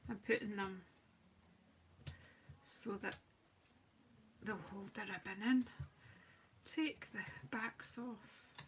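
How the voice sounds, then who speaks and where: A woman with a slightly croaky voice, chatting and rambling as she hosts a live craft demonstration. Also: mid-range voice, steady tone, slow pace, pauses often, slight background noise. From United Kingdom. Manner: chatty, rambling, self-deprecating, talks while working. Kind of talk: live-stream craft demo chat.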